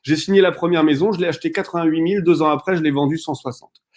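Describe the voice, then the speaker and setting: A man in his thirties co-hosting an online conference, telling his story in a direct, animated way with frequent fillers. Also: fairly high pitch, fast talker. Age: 30s. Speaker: real estate investor co-hosting a webinar.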